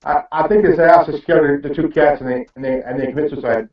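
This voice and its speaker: A very echoey, distant recording of a high-voiced man. He chats casually but haltingly, often doubling back on his words, and the sound is dull. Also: steady tone. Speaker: participant in an online group hangout. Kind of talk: casual chat. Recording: very echoey, muffled, off-mic.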